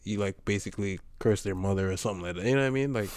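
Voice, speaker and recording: Low-voiced man from the Bahamas, easygoing. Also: very fast, a little mellow. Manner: hesitant, repeats words, laughs often. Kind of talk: casual chat over drinks.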